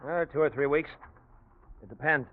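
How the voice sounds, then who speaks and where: A man's voice: a little high, normal speed, slight background noise, lightly acted. An actor playing a nervous, guarded American man with terse, evasive and demanding speech in a 1940s radio noir style. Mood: evasive, uneasy. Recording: tinny vintage radio recording.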